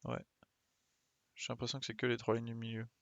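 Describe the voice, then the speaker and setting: Guy streaming a game, creaky voice, dry close sound, mumbles little interjections while he figures stuff out. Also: mid-range voice, completely flat delivery, fairly quick, dry recording, lo-fi sound. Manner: mutters short interjections while thinking aloud. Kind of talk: casual live gameplay commentary.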